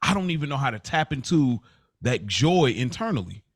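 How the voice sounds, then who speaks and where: A man's voice: mid-range voice, very animated, moderate pace, breathy edge, no echo, clean studio sound. An American man on a podcast panel, talking casually and reflectively, repeating phrases for emphasis.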